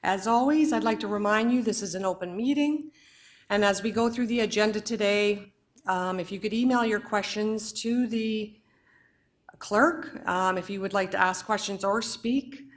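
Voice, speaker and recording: A woman with a hoarse voice runs a formal board meeting from a council chamber. She speaks in a measured, procedural way, with the odd filler. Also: medium pitch. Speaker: board chair.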